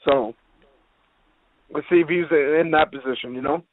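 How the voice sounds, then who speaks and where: A man's voice: fairly high pitch, normal speed, no echo. A man giving a casual interview as a professional wrestler, blunt, streetwise and full of slang, over a phone line. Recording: hissy, noisy, tinny phone line.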